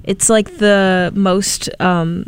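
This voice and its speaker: Young radio co-host, mid-pitched voice, playful and chatty with lots of fillers. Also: level tone, very slow, smooth. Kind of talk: casual radio chat.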